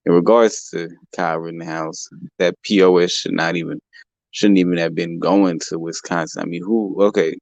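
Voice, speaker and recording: A young man hosts a livestream from home, his speech heated, slangy and full of repeated words. His voice sits low with a gravelly fry and sounds a little rough from allergies. The mic is close and the sound is dry, with no room echo. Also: fairly even intonation, moderate pace, darkish tone, muffled recording. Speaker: livestream host. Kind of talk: casual livestream rant. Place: home in California.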